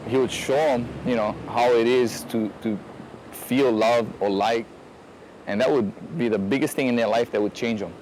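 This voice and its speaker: A man speaking in a casual interview, halting and searching for words as he talks. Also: medium pitch, steady tone, a little mellow, slight background noise, poor audio. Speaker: interviewee, son.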